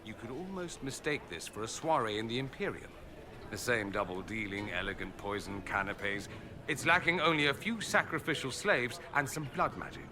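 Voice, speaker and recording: An actor playing a witty, vain aristocratic mage in a fantasy game, with a wry, sardonic flourish. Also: male voice, mid-range voice, moderate pace, crowd noise, lightly acted. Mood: amused, wry. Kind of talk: fantasy video game dialogue acting.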